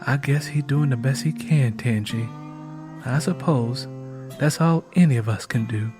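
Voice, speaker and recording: A man's voice: mid-range voice, unhurried, music in the background, close to an everyday voice. A man voicing a kind, weary, practical country woman with a Southern accent in plainspoken rural dialect for an audiobook. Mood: kind, resigned. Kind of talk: audiobook character voice.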